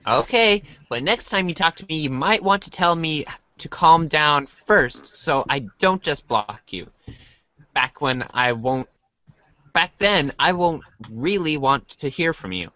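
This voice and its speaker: Casting: woman for a good-natured, bemused teenage boy in amateur theater; animated intonation, slow casual delivery. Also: low voice, no echo, full character voice.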